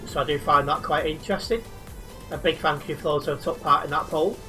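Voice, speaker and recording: A man's voice: fairly high pitch, brisk, sharp tone, faint music behind. A man chatting casually to viewers while live-streaming a driving game, reading and answering chat, a little sleep deprived.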